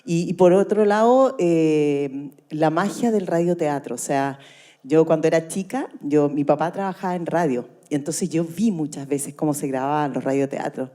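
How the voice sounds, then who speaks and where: A woman's voice: low voice, normal speed, dark tone. An older woman with a Chilean accent, an actress talking colloquially and telling anecdotes as a guest. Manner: colloquial, storytelling. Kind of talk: anecdotal conversational talk.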